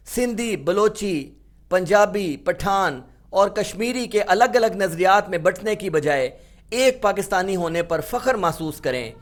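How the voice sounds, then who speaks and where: High-pitched man giving a formal speech. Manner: orotund, slows for poetry couplets. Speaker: speech competition model speaker. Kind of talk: formal declamatory Urdu speech with recited verse.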